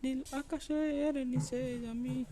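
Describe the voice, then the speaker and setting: A woman's voice: sits high, steady tone, takes it slowly, dark tone. A woman voicing a greedy dreamer who sings as he travels in a children's cartoon. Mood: carefree, hopeful. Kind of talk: slow unhurried singing.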